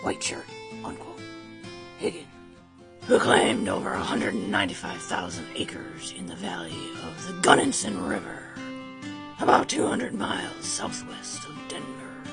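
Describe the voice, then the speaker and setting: A woman's voice: sits low, huge pitch swings, a little slow, vocal fry. A woman reading a western story aloud in a casual, chatty way, breaking off to comment on the text, with music behind. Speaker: storytime host.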